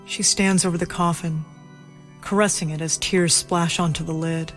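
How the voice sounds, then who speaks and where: A woman's voice: fairly low pitch, steady tone, moderate pace, soft background music. A woman reading scripted narration for a horror audio drama.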